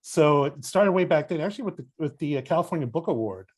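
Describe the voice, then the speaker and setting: A man's voice: on the high side, expressive, fast, breathy edge. Easygoing man, guest critic. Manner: easygoing, with fillers and self-deprecating humor. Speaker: literary editor and critic, guest. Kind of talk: casual interview answers.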